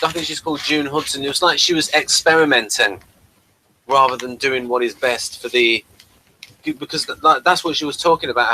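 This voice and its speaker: A man's voice: mid-range voice, bright, forceful tone, a little hiss or hum, rough recording. A man hosting a casual review chat, explaining things and giving his opinions. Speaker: podcast host reviewing classic TV episodes.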